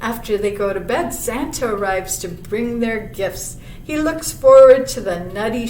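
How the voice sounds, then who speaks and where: A woman reading a children's picture book aloud as a library storyteller, pausing for chatty asides and questions to young listeners. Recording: roomy, distant mic with clear low rumble noise.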